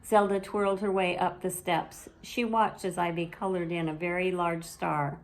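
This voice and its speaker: A woman storyteller reading a children's bedtime story aloud in a measured, expressive way. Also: on the low side, low-quality recording.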